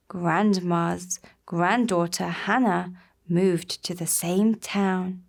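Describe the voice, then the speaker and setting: A woman tells a story for young children. Her voice sits at an average pitch, and she goes slowly and gently through each phrase, in a simple storybook manner. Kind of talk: scripted children's puppet-show storytelling.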